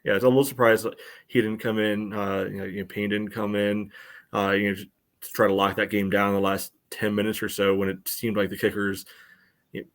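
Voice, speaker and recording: A man with a fairly low voice gives casual sports analysis as a podcast co-host. He talks in a halting, rambling way, circling through his thoughts with many fillers. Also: flat delivery. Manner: halting, rambling, very frequent "you know".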